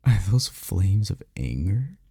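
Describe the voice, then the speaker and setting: Man voicing a deep, gravelly, tender incubus boyfriend. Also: very animated, takes it slowly, no echo, heavily acted. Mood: tender, reassuring. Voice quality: gravelly, dark low voice. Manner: soft, soothing, teasing, lapses into whispers. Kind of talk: intimate ASMR roleplay, comforting. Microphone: close microphone, dry.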